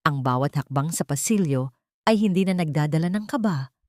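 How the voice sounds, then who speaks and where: Low voiced lady narrating a sentimental drama story, measured, really dry sound. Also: animated, a little mellow, no room sound.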